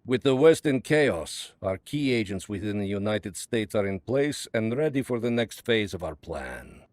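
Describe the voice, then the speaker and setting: An actor playing a calm, calculating Soviet spymaster, speaking in a measured, ominous and grandiose way in a video game cutscene. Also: male voice, lowish voice, dry recording. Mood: cold, resolute.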